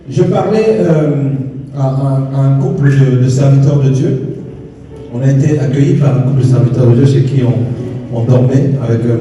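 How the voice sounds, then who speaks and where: A man whose first language is Swahili preaches with emphatic repetition, his voice dark and mellow. He sounds distant from the mic, with long reverb filling a church hall. Faint music plays behind him. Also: on the high side. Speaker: pastor. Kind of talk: sermon.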